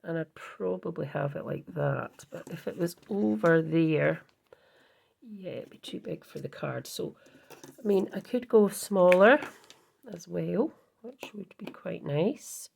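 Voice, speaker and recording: Slow, husky-voiced woman crafter. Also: lowish voice, expressive, darkish tone. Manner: rambling, self-correcting, many ums. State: croaky, husky voice. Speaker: card-making channel host. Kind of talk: casual crafting tutorial chat.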